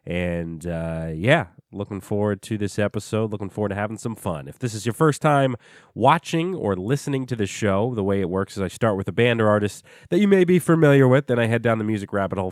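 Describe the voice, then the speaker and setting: Guy with a cold hosting a music show, kinda low voice, stuffy nose, dry close sound, lots of uhs. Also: dry recording. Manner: relaxed, chatty, filler-heavy. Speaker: podcast host. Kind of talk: casual music show chat.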